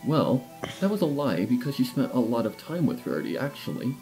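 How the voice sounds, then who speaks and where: A man narrating a scripted fan fiction story in a playful, expressive storytelling way. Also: medium pitch, a little fast, rough recording. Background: music.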